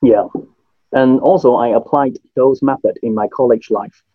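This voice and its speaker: A man's voice: medium pitch, steady tone, moderate pace, slightly rough, no echo, narrow, tinny audio. A man in his thirties with a Hong Kong accent, a native Cantonese speaker, answering interview questions hesitantly with many fillers. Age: 30s. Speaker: memory trainer, guest.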